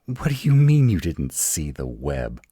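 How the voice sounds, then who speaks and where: A man playing a gruff, protective, uptight male were-dragon in an intimate roleplay audio drama, halting and dramatic. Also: a bass voice, huge pitch swings, warm tone, no room sound, clean studio sound, exaggerated voice. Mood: agitated, incredulous. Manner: halting, dramatic, slow delivery with emphatic outbursts.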